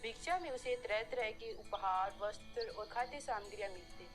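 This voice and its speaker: A woman's voice: mid-range voice, moderate pace, faint music behind. A woman reading scripted narration for a children's folk tale as the storyteller.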